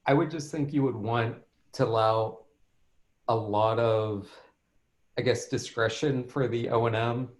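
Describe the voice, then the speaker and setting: A man's voice: medium pitch, fairly even intonation, measured pace. A man on a video call discussion, tentatively thinking aloud about standards in his field.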